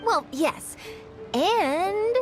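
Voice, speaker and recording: An actress playing a breezy, confident young journalist in an anime-style game, chatty and lightly teasing. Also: female voice, extremely high pitch, animated, extremely slow, over music, full character voice.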